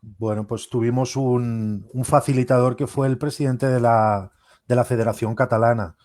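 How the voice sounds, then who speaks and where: A man from Spain, a guest and former player, speaking haltingly with dry, self-deprecating humor. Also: mid-range voice, steady tone, a little mellow, dry recording. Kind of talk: casual interview.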